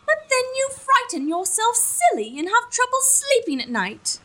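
A woman playing a lovestruck, gently chiding young maiden in a gothic comedy audio drama, with theatrical old-fashioned diction. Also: extremely high pitch, sing-song, moderate pace, exaggerated voice. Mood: scolding.

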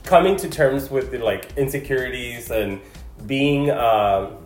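A man co-hosting a podcast talks through his thoughts slowly, hesitating and filling gaps with "like" and "um." His voice sits at a middle pitch and rings in a roomy space, with faint music underneath. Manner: reflective, hesitant, many fillers. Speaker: podcast co-host. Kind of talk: casual chat.

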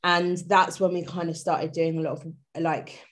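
A woman's voice: fairly low pitch, little pitch movement, moderate pace. A woman guest recounting her background in a casual conversation, rambling with many "like" and "kind of" fillers. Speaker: guest, co-founder.

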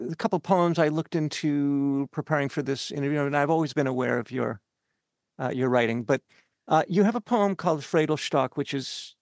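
A man from Canada hosting a podcast interview, asking long earnest questions with many halting fillers. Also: fairly high pitch, gravelly, a little mellow, no room sound, clean studio sound.

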